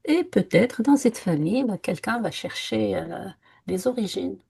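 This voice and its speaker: A woman's voice: medium pitch, animated, a little slow, gravelly, warm tone, slightly rough. A woman co-hosting a conversational podcast, speaking reflectively and earnestly with frequent hesitations. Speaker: podcast co-host. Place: lived in France.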